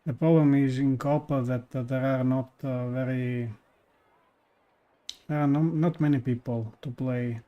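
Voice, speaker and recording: A man's voice: medium pitch, fairly even intonation, measured pace, muffled recording. A man giving casual live-stream commentary on games, hesitant and searching for words in non-native English. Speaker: game streamer. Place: indoors, live stream over game music.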